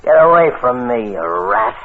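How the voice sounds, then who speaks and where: A man's voice: medium pitch, sing-song, moderate pace, over music, lightly acted. An American man acting a drunk, loose-tongued underworld barfly in an old radio crime drama, slurring and mocking. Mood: drunk, taunting. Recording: tinny old radio recording, faint noise.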